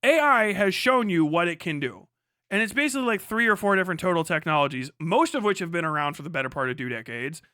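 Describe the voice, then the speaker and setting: A man's voice: high voice, lively intonation, quick pace, pushed and bright, dry recording, clean studio sound. A man on a casual, emphatic livestream rant, speaking off the cuff, repeating himself for emphasis and swearing freely. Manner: emphatic, repetitive, profane.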